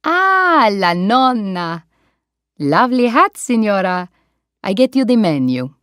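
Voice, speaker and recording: A woman's voice: fairly high pitch, big pitch swings, a little slow, dry recording, studio quality, pitched above the performer's own voice. A woman doing an eager young Italian waiter. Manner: broken English, eager and friendly.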